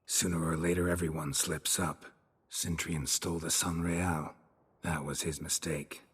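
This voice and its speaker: Very deep, gruff monster hunter. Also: male voice, unhurried, exaggerated voice. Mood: calm. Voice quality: gravelly, husky low voice. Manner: terse, laconic, deadpan. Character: calm, gruff mutant monster hunter, middle-aged man, dry wit. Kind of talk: video game cinematic acting.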